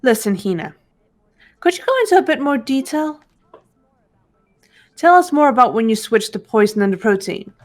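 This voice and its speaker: A woman voicing a calm young female investigator who questions others in measured tones. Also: on the high side, soft background music, lightly acted. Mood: probing. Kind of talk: fan voice acting of a game.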